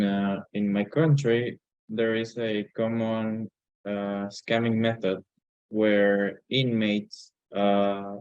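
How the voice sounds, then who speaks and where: A man's voice: on the low side, darkish tone, clear, resonant tone, no room sound. A man sharing in a casual online class discussion, heard through slightly dull call audio. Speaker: class participant.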